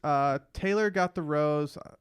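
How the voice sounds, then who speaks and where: A man's voice: a little high, unhurried, smooth, no echo, clean studio sound. A man hosting a casual podcast chat about a reality TV show. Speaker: podcast host.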